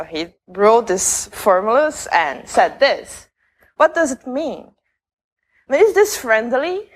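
A woman's voice: a little high, rough recording. A young woman from Brazil with a Brazilian Portuguese accent, giving a conversational conference talk with many fillers and restarts. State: jet-lagged. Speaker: conference speaker.

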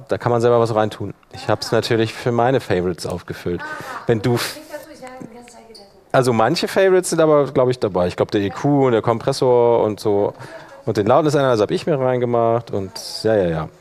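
A man's voice: medium pitch, darkish tone. Male workshop presenter, colloquial, talks through each step as he demonstrates, thinking aloud. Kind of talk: informal software tutorial, demonstrating step by step.

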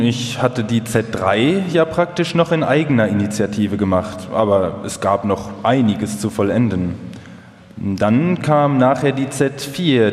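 Man acting as sober male inventor, measured recollection, documentary radio play, middling pitch, reverberant hall. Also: darkish tone, natural voice. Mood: matter-of-fact recollection. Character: male computer pioneer recalling his wartime work, sober and reflective. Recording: echoey hall, live performance.

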